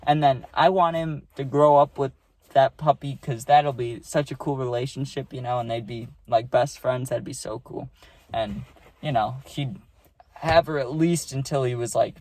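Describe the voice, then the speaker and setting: A man of twenty talking straight to camera, telling a story in a rambling, excitable way and often checking in with "right?" and "dude". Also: mid-range voice, moderate pace. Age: 20s. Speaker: vlogger. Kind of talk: casual storytime monologue.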